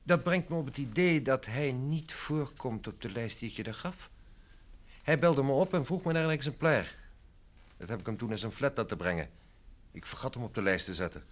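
A man's voice: medium pitch, lively intonation, normal speed, natural voice. An actor playing an affable, obliging male book publisher in an old Dutch radio drama, chatty and businesslike.

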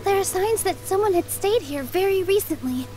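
A gentle young dancer. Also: female voice, very high voice, lively intonation, brisk, music in the background. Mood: concerned. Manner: soft, earnest and kind. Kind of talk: game dub.

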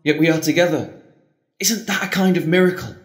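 An actor playing a devout, tender priest in an audio drama, speaking earnestly and solemnly. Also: male voice, fairly high pitch, brisk, natural voice. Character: John, devout, tender, doubting priest facing the end. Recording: echoey, roomy sound.

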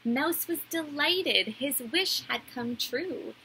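A woman in her thirties reading a children's story aloud like a teacher, in a deliberate, animated storytelling way. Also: high-pitched, background noise. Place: small indoor room.

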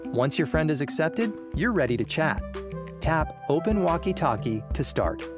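A man's voice: a little high, very animated, moderate pace. A man narrating a scripted step-by-step tutorial. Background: music.

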